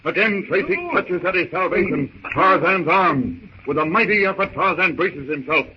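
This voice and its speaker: A noisy, dull old radio recording carries a man narrating a 1930s adventure serial. His high-set voice is theatrical and urgent, punching out short, emphatic phrases in dramatic scripted style. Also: normal speed.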